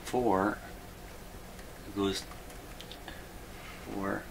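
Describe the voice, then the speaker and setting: A man with a fairly low, creaky voice talks himself through a repair at the bench. He is halting and puzzled, muttering and poking fun at himself. The amateur recording has clear background noise. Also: steady tone. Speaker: host of a radio repair video. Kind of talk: unscripted workbench troubleshooting, thinking aloud. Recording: clearly noisy hobby video recording.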